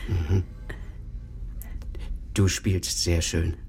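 An actor playing a middle-aged, possessive, delusional father in a naturalistic radio crime drama, speaking in a halting mutter. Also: sits low, steady tone, vocal fry, darkish tone, faint music behind, exaggerated voice. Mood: brooding, evasive. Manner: halting, muttering, with hesitant fillers. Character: delusional racist father, brooding and possessive, turning fanatical.

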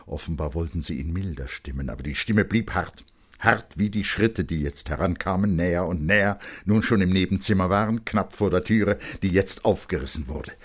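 A man narrating literary fiction in a dramatic, expressive and measured storytelling style. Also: low-pitched.

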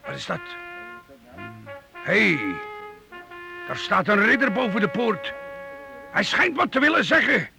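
An actor playing a gruff, defiant medieval master craftsman in a Dutch radio play. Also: high voice, sing-song, unhurried, pauses often, music in the background, heavily acted. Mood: wary, taunting.

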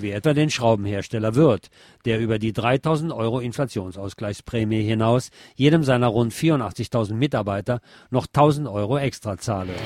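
A man's voice: mid-range voice, a little mellow. A man presenting radio news, reading from a script in German news style.

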